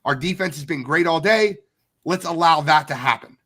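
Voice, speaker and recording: A man hosts a sports podcast with a bright, forceful tone. He's congested from a cold but still blunt and opinionated, calling things as he sees them. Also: a little high, moderate pace. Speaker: podcast host.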